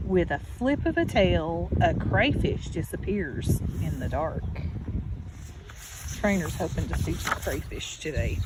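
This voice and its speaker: A woman teacher reading a picture book aloud to children, deliberate and expressive, with questions and asides to her listeners. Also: mid-range voice. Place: outdoors beside a natural pond. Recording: steady outdoor background noise. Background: birds and outdoor ambience.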